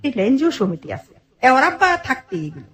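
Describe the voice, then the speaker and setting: A middle-aged woman with a fairly high voice and a Bangladeshi accent. She recounts her own life to an interviewer in earnest detail, often acting out what was said in reported speech. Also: sing-song, moderate pace, a bit bright. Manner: earnest, detailed narration with quoted dialogue. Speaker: interview guest telling her life story. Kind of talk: personal storytelling in conversation.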